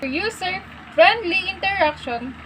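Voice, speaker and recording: Slow, halting young woman. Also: extremely high pitch, animated, fairly bright tone, a little hiss or hum, poor audio. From Philippines. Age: young adult. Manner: halting, reads out lists item by item. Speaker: student presenter. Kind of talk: class project presentation.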